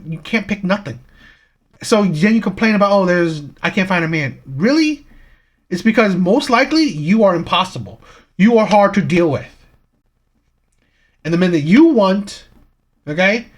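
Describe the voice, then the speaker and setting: A man hosting a reaction channel, giving opinionated commentary with rhetorical questions and frequent "right?" checks. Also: sits high.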